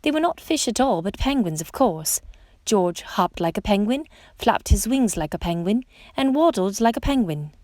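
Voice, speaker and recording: Animated woman reading a children's storybook. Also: mid-range voice, moderate pace, dry recording. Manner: expressive, dramatic emphasis on exclamations and questions. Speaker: storytime reader. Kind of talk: read-aloud children's picture book narration.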